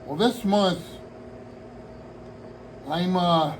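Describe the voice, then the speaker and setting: Man, sixties, slightly high voice, rambling heartfelt home vlog, air conditioner hum behind. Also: extremely slow, poor audio. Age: 60s. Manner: meandering, heartfelt, with frequent restarts and trailing asides. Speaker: hobby vlogger. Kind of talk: rambling casual talk to camera. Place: home hobby room.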